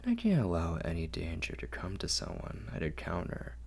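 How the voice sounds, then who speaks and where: A man playing a refined, doting, fastidious vampire lord in an intimate ASMR roleplay, speaking formally and coaxingly in a gravelly, often whispery voice. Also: low-pitched, huge pitch swings, exaggerated voice. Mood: possessive, aloof. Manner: formal, measured, softly coaxing.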